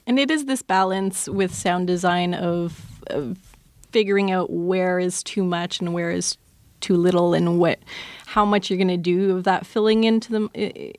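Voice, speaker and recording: A woman guest in an interview who explains her creative work thoughtfully, haltingly searching for words. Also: lowish voice, fairly even intonation, no room sound. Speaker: interview guest, audio fiction producer. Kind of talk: reflective interview conversation.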